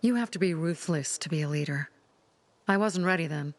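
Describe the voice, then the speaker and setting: A woman's voice: sits low, brisk. A woman voicing a determined young female resistance leader in a video game, speaking earnestly and resolutely. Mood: reflective. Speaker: settlement leader.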